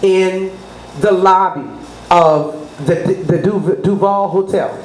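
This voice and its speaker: A woman's voice: on the low side, slow pace, a little mellow. A middle-aged woman from New Orleans, a business consultant talking up her services as an interview guest, rambling from point to point with name-drops and asides about God. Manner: rambling, salesy, name-dropping, religious asides. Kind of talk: promotional interview talk. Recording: echoey room, faint noise.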